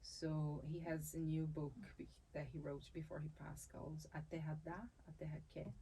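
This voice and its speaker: A woman with a Brazilian accent speaks in a low, gravelly voice with a warm, mellow tone. She talks thoughtfully in an interview, restarting phrases as she goes and laughing easily. A faint hum of room noise sits under her. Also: level tone, normal speed, poor audio. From Salvador, Bahia, Brazil. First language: Portuguese. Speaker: dancer and capoeira instructor, interview guest. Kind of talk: conversational interview.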